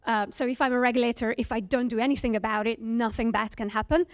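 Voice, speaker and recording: A woman's voice: a little high, steady tone, quick pace. A woman from Hungary, giving an earnest presentation as a founder, with frequent fillers. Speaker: startup founder giving a talk. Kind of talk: presentation to an audience.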